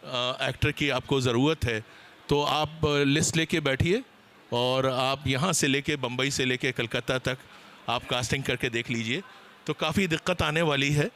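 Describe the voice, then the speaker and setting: A man speaking as a panelist at a seminar, explaining his points in a deliberate, hesitant way. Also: medium pitch, fairly bright tone, a little hiss or hum.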